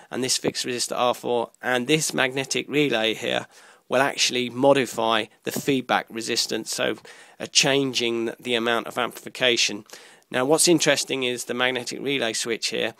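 A man presenting an informal electronics tutorial, explaining step by step in a halting way, pausing mid-sentence. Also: medium pitch, vocal fry, pushed and bright, dry recording.

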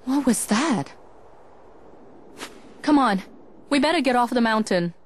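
A woman's voice: fairly high pitch, lively intonation, unhurried, a little hiss or hum. A woman voicing a brave, earnest young warrior woman in a video game cutscene. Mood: urgent.

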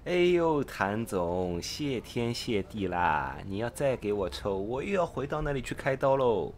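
A man's voice: medium pitch, sing-song, a little slow, a little hiss or hum. A man playing an eager, impatient purchasing agent in a parody radio drama, exclamatory and theatrical as he chums up to his boss. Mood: impatient, chummy.

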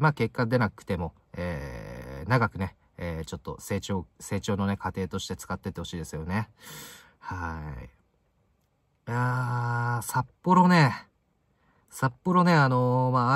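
Young guy doing casual football talk in a car, kinda low voice, halting w lots of filler, really dry sound. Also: dry recording. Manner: halting, filler-heavy, phrase-by-phrase delivery. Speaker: football fan video host. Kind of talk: casual spoken match commentary to camera. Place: inside a parked car in daytime.

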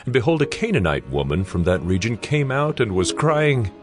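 Casting: male narrator for dramatized scripture, very low register, expressive melody. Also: moderate pace, over music.

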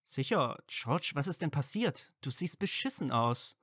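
A man's voice: a little high, sing-song, a little slow, fairly bright tone, husky, no room sound, clean studio sound, heavily acted. A man playing an earnest, loyal, easily flustered young wizard friend in an audio drama. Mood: concerned. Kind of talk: fan fiction audio drama dialogue.

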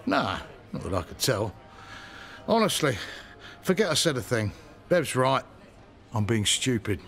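An actor playing a gruff, troubled middle-aged British ex-policeman and landlord in a radio soap, with colloquial speech. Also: mid-range voice, sing-song, unhurried, fairly bright tone. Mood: uneasy, evasive. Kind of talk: naturalistic radio soap acting.

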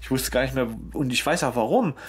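A man's voice: medium pitch, quick pace, crowd noise. A man co-hosting a casual podcast discussion, explaining things in a colloquial way. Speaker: podcast co-host.

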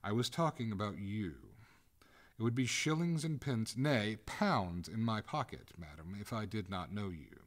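A man playing an exasperated old-fashioned gentleman. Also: lowish voice, expressive, unhurried. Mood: indignant. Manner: stiffly polite, exasperated complaining. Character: indignant gentleman, master of a haunted hall.

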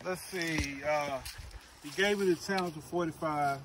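A middle-aged man talks his way through each shot in a relaxed, chatty manner, his voice sitting slightly high. He is outdoors on a wooded range, caught by a phone camera a few feet off. Faint open-air ambience sits behind him. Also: a little slow, a little hiss or hum. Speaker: father shooting archery. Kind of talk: casual outdoor vlog talk.

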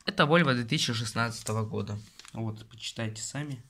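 A man's voice: mid-range voice, fast talker, bright, forceful tone. A man casually reviewing a car magazine at home, rambling, grumbling and talking to his viewers. He records himself on a phone held close over his desk.

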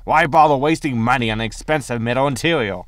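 A man's voice: medium pitch, fast, a bit bright, no echo, clean studio sound, lightly acted. A man playing a bossy, easily exasperated starship captain and pilot in a sci-fi sitcom audio drama. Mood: dismissive. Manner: exasperated, brusque. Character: irritable, bossy male spaceship captain and pilot.

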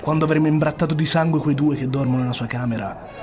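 An actor playing a tormented, ambitious noble warrior in an Italian radio drama, solemn and theatrical. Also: male voice, a little high, quick pace, darkish tone, soft background music, close to an everyday voice. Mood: grimly resolved.

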